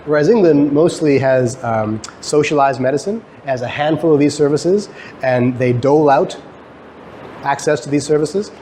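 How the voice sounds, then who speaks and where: A man's voice: a little high, moderate pace, dark tone. A man giving an explanatory lecture to students, conversational, posing questions and answering them himself. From Guyana, emigrated to Canada. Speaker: lecturer, academic researcher. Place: lecture room, talk to students. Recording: clearly noisy live lecture recording.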